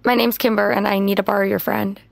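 An actress playing a wounded, determined young woman in an audio drama, blunt but halting and naturalistic. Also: mid-range voice, flat delivery, vocal fry, no room sound. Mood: tense, hesitant. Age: young adult.

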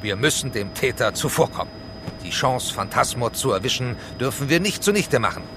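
An actor playing a decisive police team chief in an audio play, terse and authoritative. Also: male voice, lively intonation, brisk, lightly acted. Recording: rumbling vehicle noise behind.

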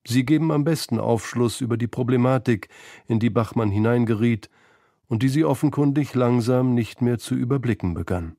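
A mellow male voice narrates a literary radio feature. He reads his script in a measured, sober way, in a dry, close sound at a middle pitch. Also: dry recording.

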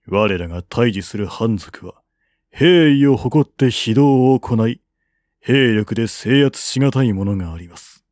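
Man reading as a grave, cautious general, slow. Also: medium pitch, animated, darkish tone, no echo, studio quality, lightly acted. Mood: grave, reasoning. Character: grave, cautious male army general advising his lord.

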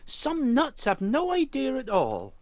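A man's voice: very high voice, wildly swooping pitch, a little slow, no room sound, voice pushed up, full character voice. A man voicing a fussy, exasperated female strawberry character in a children's storybook, with an indignant manner. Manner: indignant, tutting.

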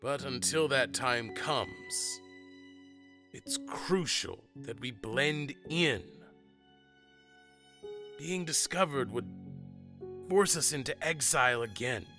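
An actor playing an earnest middle-aged monster father, telling family history with measured, dramatic pauses in an audio drama. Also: mid-range voice. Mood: grave, bitter.

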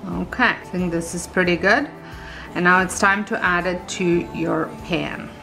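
A woman hosting a home baking video, talking through each step in a relaxed, chatty way with small self-corrections. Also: on the low side, a little slow, gravelly, faint music behind, low-quality recording. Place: home kitchen.

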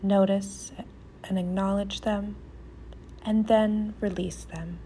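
A woman leading a guided meditation in a soft, soothing, often near-whispered voice with pauses. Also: mid-range voice, flat delivery, slight background noise.

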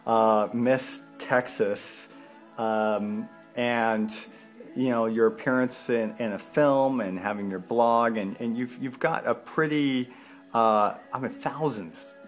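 Guy interviewing someone, halting, average pitch, faint music behind. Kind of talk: casual interview questioning.

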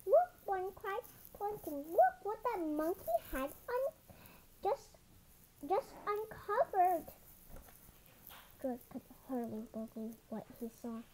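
A storybook reader doing an expressive children's picture-book read-aloud, sometimes stumbling over words, recorded indoors at a table. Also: extremely high pitch, slow pace, a little hiss or hum, low-quality recording. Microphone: camera over the book.

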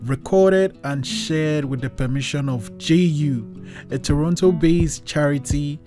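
A man's voice: fairly high pitch, a little slow, music in the background. A man in his thirties hosting a panel interview, introducing guests and putting questions to them over a video call. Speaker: podcast host.

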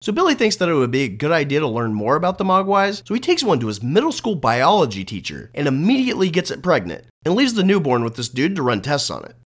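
Sarcastic man with very lively intonation. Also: on the high side, quick pace, few pauses. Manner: sarcastic, crude, profane. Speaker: narrator of a comedic film recap. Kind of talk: scripted comic narration as a bedtime story.

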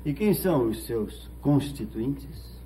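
A Brazilian man playing a gruff, sardonic small-town police chief in a 1970s telenovela. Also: medium pitch, expressive, takes it slowly, mellow. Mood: gruff, challenging. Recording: noisy old 1970s TV soundtrack.

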